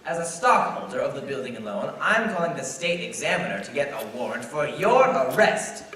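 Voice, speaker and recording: A man's voice: medium pitch, sing-song, brisk, slight background noise. Man acting a sneering banker villain, echoey stage. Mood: gloating, scornful. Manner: taunting, sneering. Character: gloating, cold-hearted older male banker villain. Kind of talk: live 1940s-style radio play acting. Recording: distant echoey theater pickup, loud music.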